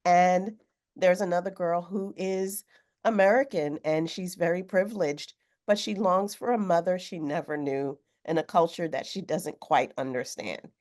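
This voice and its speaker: Hoarse low-voiced woman on a call. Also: a little mellow. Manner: thoughtful, unhurried, with fillers. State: hoarse from a cold. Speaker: author guest. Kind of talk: video call interview. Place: home room with sewing machine. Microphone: video call webcam.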